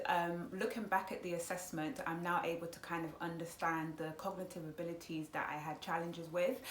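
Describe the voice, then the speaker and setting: A woman's voice: on the low side, reverberant, slight background noise. A young woman from Congo whose first language is Lingala, talking thoughtfully as a student vlogger, with frequent fillers and restarts. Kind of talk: casual reflective monologue.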